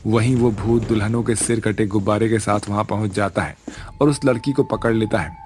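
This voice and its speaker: A man's voice: on the low side, steady tone, fairly quick, darkish tone. A man narrating a scripted spooky village story as its storyteller.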